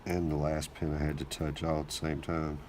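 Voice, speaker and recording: A man recovering from a cold, hosting his own channel and talking through his work in a relaxed, thinking-aloud way. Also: extremely low pitch, a little fast, a little hiss or hum. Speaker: lockpicking channel host. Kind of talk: casual hands-on narration.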